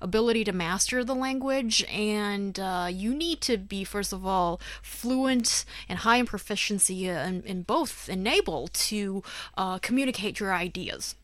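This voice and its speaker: A woman from China, whose first language is Chinese, co-hosts a discussion in a friendly, measured manner. Her voice sits at a middle pitch. The sound is dry and close, with no room echo. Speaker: podcast co-host. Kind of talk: casual discussion.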